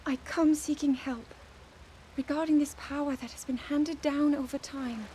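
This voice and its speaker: A young woman voicing an earnest young princess who is burdened by duty and doubts herself, speaking softly in a game cutscene. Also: high-pitched, steady tone, moderate pace, a little hiss or hum, natural voice. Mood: anguished. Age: young adult. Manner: soft, pleading, searching. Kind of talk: video game cutscene voice acting.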